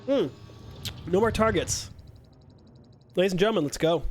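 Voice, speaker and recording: Young male streamer, high voice, playful self-mocking banter with chat, faint game music underneath. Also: normal speed. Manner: joking, self-mocking, chatting with viewers. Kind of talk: casual live-stream chat while playing.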